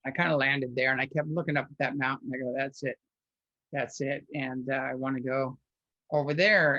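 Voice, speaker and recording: A man interviewed as a guest, telling personal stories in a rambling way, restarting often and filling pauses. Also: mid-range voice, a bit bright, no room sound, dull audio. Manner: rambling, with frequent restarts and filler words.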